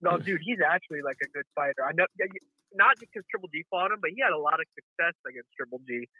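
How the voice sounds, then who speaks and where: Raspy nasal man, sick, casual caller, slightly high voice, tinny phone line. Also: animated, fast talker, no echo. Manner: casual, laid-back, says dude.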